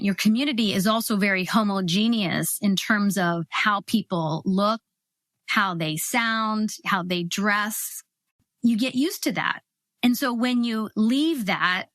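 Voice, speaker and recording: A middle-aged woman from Ohio, a guest on an interview show, explaining her ideas in a thoughtful, measured way. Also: mid-range voice, fairly bright tone, no echo. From Ohio, USA. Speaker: guest, cross-cultural consultant. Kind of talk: interview answers.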